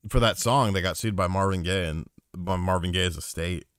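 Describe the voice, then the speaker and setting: A man's voice: low voice, normal speed, a bit bright, smooth, dry recording, clean studio sound. A man co-hosting a podcast aftershow, joking and swearing in rambling casual chat. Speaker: podcast co-host.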